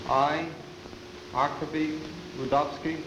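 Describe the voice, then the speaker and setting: A man, an army officer, calmly swearing in recruits and having them repeat the oath. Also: fairly high pitch, slow. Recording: roomy, noisy old film sound.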